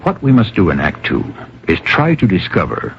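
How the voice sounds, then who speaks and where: A man hosting a radio mystery drama with scripted narration, measured, wry and rhetorical. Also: deep voice, wildly swooping pitch. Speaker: host and narrator of a mystery anthology. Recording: dull radio recording with music.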